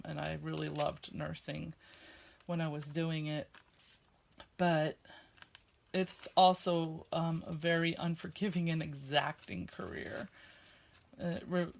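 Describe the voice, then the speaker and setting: A woman's voice: lowish voice, vocal fry, a little hiss or hum. Chatty woman with a slight lisp. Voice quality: loud voice that carries. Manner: chatty, rambling, with frequent fillers. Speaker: crafting vlogger. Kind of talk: casual chat while crafting.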